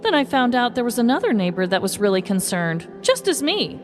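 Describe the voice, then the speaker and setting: A woman voicing a quoted, concerned female resident in a podcast story, earnest and animated. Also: on the high side, fairly quick, music in the background, pitched above the performer's own voice. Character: concerned female neighborhood resident quoted about nightly blasts.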